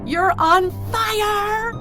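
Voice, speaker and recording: A woman playing a sadistic, playful female chauffeur in an audio drama, taunting in a singsong way with drawn-out words. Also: extremely high pitch, over music, full character voice. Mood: playful goading. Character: sadistic, playful female supernatural chauffeur who forces cruel choices.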